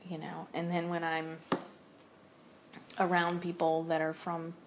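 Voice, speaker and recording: A woman's voice: fairly low pitch, completely flat delivery, unhurried, noisy background. A woman from Ohio with a Midwestern accent, co-hosting a podcast in casual, chatty talk and laughing easily. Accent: Midwestern American. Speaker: podcast co-host. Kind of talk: casual chat.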